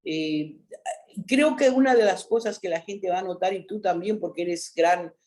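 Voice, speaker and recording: A middle-aged woman with a Mexican accent, an actress chatting as an interview guest, telling stories in an animated way and often adding a questioning "¿no?". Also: fairly low pitch, normal speed. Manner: animated storyteller, frequent "¿no?" tags. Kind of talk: casual interview chat.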